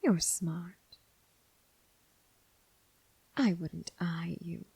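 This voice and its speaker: A woman playing a commanding, teasing, coolly amused mob boss in an ASMR roleplay, husky and breathy, speaking with deliberate, condescending pauses. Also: mellow, no echo, heavily acted, low-quality recording. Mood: teasing, seductive. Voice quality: husky, breathy, low, creaky. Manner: slow, deliberate, purring condescension with drawn-out pauses. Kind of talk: ASMR roleplay, scripted character acting.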